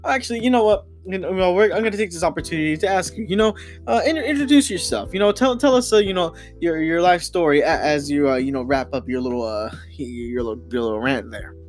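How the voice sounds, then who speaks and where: A man's voice: on the high side, soft background music. Hesitant male podcast host. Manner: hesitant, halting, full of fillers. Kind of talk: casual comedy podcast banter.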